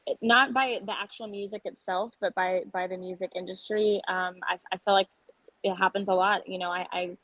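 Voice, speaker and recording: A woman's voice: medium pitch, steady tone, fairly quick, a bit bright, dry recording, rough recording. A young woman from San Antonio, a country singer-songwriter, talking openly and earnestly in a casual podcast interview. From San Antonio, Texas. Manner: open, earnest, talkative. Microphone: podcast microphone.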